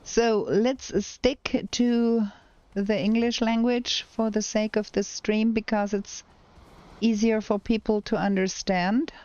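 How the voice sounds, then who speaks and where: German-accented woman streamer, unhurried, smooth clear voice on dull lo-fi audio, chatty gameplay commentary. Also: fairly high pitch, level tone, warm tone. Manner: slow, thinking aloud while playing. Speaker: game streamer. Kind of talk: casual gameplay commentary with chat replies.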